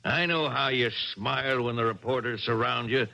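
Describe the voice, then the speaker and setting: An actor playing a pushy, ambitious middle-aged father with a husky voice in a 1970s radio drama. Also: mid-range voice, normal speed, sharp tone. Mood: fervent, coaxing. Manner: pushy, pleading, emphatic. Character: domineering, ambitious father of a tennis champion daughter. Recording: dull old radio broadcast.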